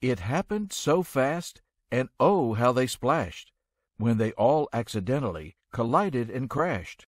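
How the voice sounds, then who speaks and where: A man narrating a rhyming children's story in an expressive, measured storytelling manner. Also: medium pitch, dry recording, clean studio sound.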